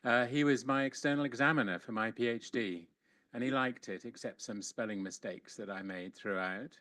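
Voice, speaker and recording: A man in his sixties, speaking as a panelist on a video call, relaxed and lightly humorous as he recalls old times. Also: medium pitch, moderate pace, no echo. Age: 60s. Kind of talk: webinar reminiscence. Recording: distant dry laptop mic.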